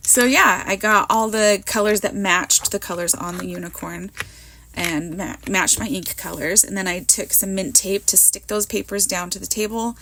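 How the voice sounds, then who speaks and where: A woman in her thirties or forties, hoarse from a cold, rambling in a chatty, self-mocking way as she narrates her card making at a craft table. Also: mid-range voice, pushed and bright, a little hiss or hum. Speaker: card maker. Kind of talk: casual crafting voiceover.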